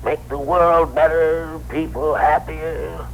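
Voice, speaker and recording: A man's voice: a little high, level tone, takes it slowly. An actor playing a cranky, obstinate old man, the outgoing year, talking folksily in a 1960s radio drama. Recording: noisy vintage radio broadcast recording.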